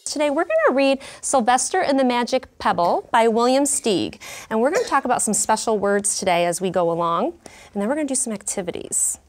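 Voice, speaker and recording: A woman in her thirties, a teacher in a classroom, reading a story aloud with clear, expressive delivery and pausing to explain words. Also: a little high. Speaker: kindergarten teacher. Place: elementary classroom.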